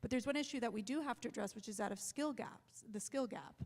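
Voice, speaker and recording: A woman's voice: fairly high pitch, quick pace. A woman on a conference panel making eager policy arguments, keen to jump ahead. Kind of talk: panel discussion.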